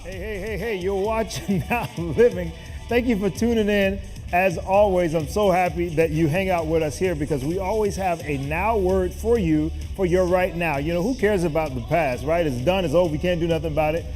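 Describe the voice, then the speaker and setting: Man, TV host, energetic, encouraging, laughs a lot, slightly high voice, music in background. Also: normal speed. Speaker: TV show host. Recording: music playing behind.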